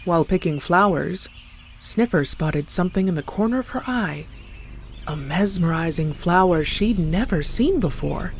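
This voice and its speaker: A woman's voice: fairly low pitch, lively intonation, moderate pace, a little hiss or hum. A woman narrating a scripted horror story as a storyteller. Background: clear background music.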